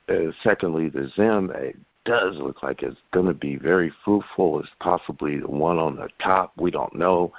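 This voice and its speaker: A man's voice: a bass voice, vocal fry, no room sound. A man calling in by telephone, rambling casually in a folksy, hesitant way with playful slang. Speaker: caller. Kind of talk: casual rambling phone talk. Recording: dull lo-fi phone line.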